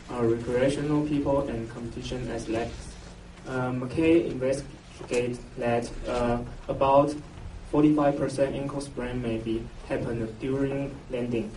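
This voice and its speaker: Male academic presenter, Taiwanese accent, halting and unsure, full of pauses; mellow tone, picked up from far off. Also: mid-range voice. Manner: hesitant, halting, with very frequent filled pauses. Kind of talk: scientific conference presentation in halting English. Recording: roomy, noisy, distant mic, muffled echo.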